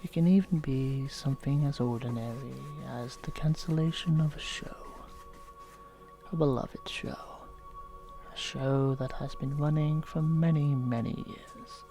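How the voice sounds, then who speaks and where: A soft-spoken television painter gone unhinged, as a man acts him out. He whispers slowly and soothingly, his pitch rising and falling in lively swoops. Faint music plays underneath. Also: heavily acted. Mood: calm. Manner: slow, soothing, softly menacing. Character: gentle middle-aged male TV painter turned unhinged. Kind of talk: horror ASMR role-play.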